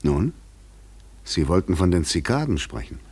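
An actor playing an overwrought, weary fugitive in a German radio play, speaking in an articulate, insistent, rhetorical manner. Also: male voice, deep voice, very animated, normal speed, a little mellow, husky, heavily acted. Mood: probing. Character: overwrought, weary, probing male fugitive intruder.

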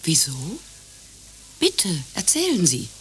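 An actress playing an overbearing, prim hostess who swings from cooing to indignant scolding in a satirical radio play. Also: female voice, lowish voice, huge pitch swings, slow pace, soft background music, full character voice. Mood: cosy, eager. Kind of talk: German radio play acting, satirical grotesque.

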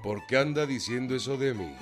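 An actor playing a stern, folksy, mocking revolutionary general with a Mexican accent in a radio drama. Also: male voice, medium pitch, brisk, close to an everyday voice. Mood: stern, confronting. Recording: loud music and crowd behind.

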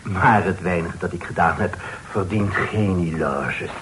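An actor playing an affected, very formal gentleman caller, speaking ceremoniously in a period radio drama. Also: low-pitched, unhurried. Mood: courteous, formal. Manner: affected, ceremonious, French-sprinkled speech. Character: mannered gentleman suitor paying a formal call. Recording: old radio recording with faint noise.

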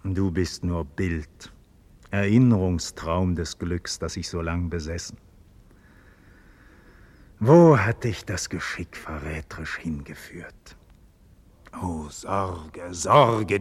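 A man playing a noble imprisoned hero in a 1940s radio play, declaiming a solemn classical monologue in a husky voice. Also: sits low, heavily acted. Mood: brooding, weary, then anguished, questioning. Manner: solemn, declamatory, swelling from murmur to ardour. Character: noble imprisoned hero brooding on death and freedom.

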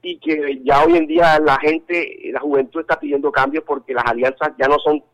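A Colombian man speaking as an interview guest over a telephone call, halting and restarting his sentences, with many fillers. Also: a little high, fairly even intonation, a bit bright. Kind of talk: phone interview. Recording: telephone line.

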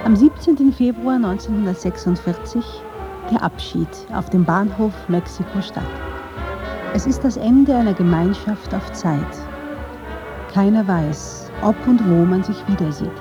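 A woman's voice: medium pitch, measured pace, highs cut off, music in the background. A woman reading scripted narration for a radio documentary.

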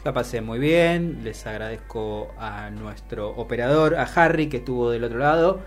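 Radio co-host, Argentine accent, mid-range pitch, casual delivery, light music behind. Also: moderate pace. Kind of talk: casual on-air greetings. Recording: music bed under the voice.

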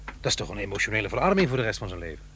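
A man's voice: fairly low pitch, very animated, racing, fairly bright tone, slight background noise. A man playing a troubled, brooding divorced father in a radio drama, earnest and hesitant. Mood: worried, pleading. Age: middle-aged.

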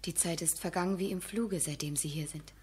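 A modest, melancholy young woman in a literary radio drama. Her voice is rather low for a woman and heavily breathy. She speaks gently and earnestly, holding her feelings back. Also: a little fast. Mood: shy, wistful. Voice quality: breathy, soft. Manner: restrained, earnest. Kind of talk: 1970s German radio drama acting.

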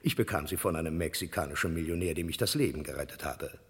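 Very deep, echoing shady street crook. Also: male voice, quick pace. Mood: pushy, brazen. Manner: pushy, glib, tall-tale patter. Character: shady, brazen male crook peddling a gold watch. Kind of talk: comic radio crime drama acting.

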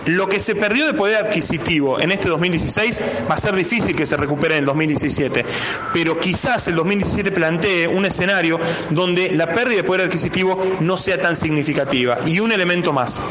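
In a roomy, noisy recording, an Argentine man delivers an economics column. His voice is slightly high, and he explains things in a measured way with an Argentine accent. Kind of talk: spoken economic commentary. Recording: roomy echo, clear background noise.

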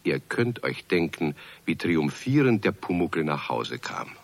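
A male storyteller with a low, deep voice. He narrates a children's radio play calmly and kindly, speaking directly to young listeners. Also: measured pace, a crowd in the background, heavily acted. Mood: calm storytelling.

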